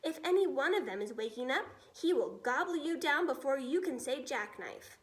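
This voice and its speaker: Storybook role: kindly giant , voiced by a woman, assured, muddled grammar, animated pitch swings. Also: moderate pace. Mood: amused warning. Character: friendly, confident male giant with muddled grammar.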